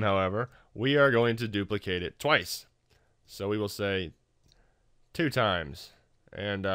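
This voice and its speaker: A man with a fairly low voice hosts a software tutorial. He talks informally, with a wry, self-deprecating manner. The sound is dry and close, with little room around it. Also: dry recording. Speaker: tutorial host. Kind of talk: casual software tutorial narration.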